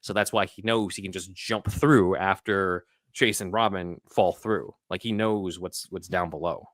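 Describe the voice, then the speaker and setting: A man hosting a podcast, giving casual scene-by-scene film commentary in a halting, conversational, explanatory way. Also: low voice, fairly even intonation, a bit bright, dry recording, clean studio sound. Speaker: podcast host.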